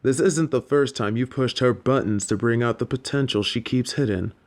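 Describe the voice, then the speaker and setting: A man playing a composed, wry professor at a wizard school in an audio drama, dry, teasing and deliberate. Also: mid-range voice, natural voice. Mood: wry, probing. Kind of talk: scripted audio drama acting.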